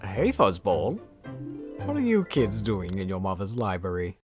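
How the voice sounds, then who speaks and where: A dry, teasing cartoon parent creature. The voice is extremely deep, with wide swings of pitch. Loud music plays behind it. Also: female voice, wildly swooping pitch, unhurried, full character voice. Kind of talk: animated audio drama acting.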